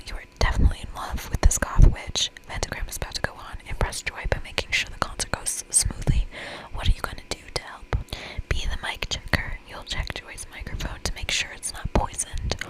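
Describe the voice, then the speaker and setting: A woman whispering in a playful, expressive ASMR style as she reads aloud and comments on a game. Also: poor audio. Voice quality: whispered, breathy. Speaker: ASMR creator playing through a visual novel game.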